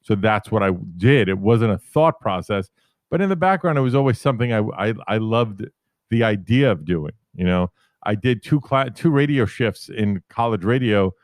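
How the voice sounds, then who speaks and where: A man in his fifties from New York City tells career stories in a low, deep voice. He wanders through anecdotes, correcting himself as he goes. The sound is close and dry, with no room around it. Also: lively intonation, moderate pace, dry recording. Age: 50s. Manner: rambling, self-correcting anecdotes. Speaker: podcast guest. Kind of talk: casual storytelling interview.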